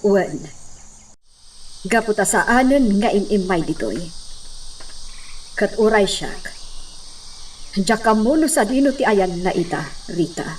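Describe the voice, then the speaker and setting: Slow-spoken, grave lady of the mansion. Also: medium pitch, expressive, a little mellow, slight background noise. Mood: grave, protective. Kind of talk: Ilocano radio drama acting.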